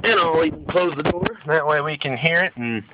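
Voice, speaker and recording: A man's voice: fairly high pitch, fairly quick. A man giving a casual hands-on how-to demonstration in an informal, blunt, plain-spoken way, recorded on a handheld phone in and around a pickup truck. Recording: dull lo-fi phone audio, vehicle noise.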